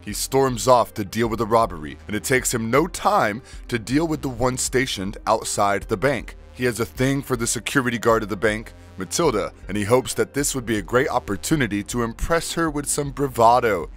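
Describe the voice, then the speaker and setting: A man with a medium-pitched voice delivers scripted recap narration. He works as a video narrator, with faint music playing behind him. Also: moderate pace, hardly any pauses, fairly bright tone.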